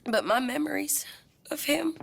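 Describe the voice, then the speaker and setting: A woman's voice: high-pitched, normal speed, vocal fry. A woman playing a tough, grieving American outlaw widow with a Western accent, blunt and raw, in a dramatic video game. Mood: grieving, tender.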